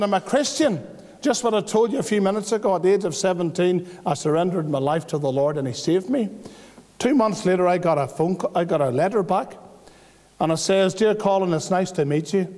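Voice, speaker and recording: Animated high-voiced man in a reverberant church. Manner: animated storytelling, bursts of excitement. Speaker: church testimony speaker. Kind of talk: personal testimony in church. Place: church hall at a wooden pulpit. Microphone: pulpit microphone. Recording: long hall reverb from a church.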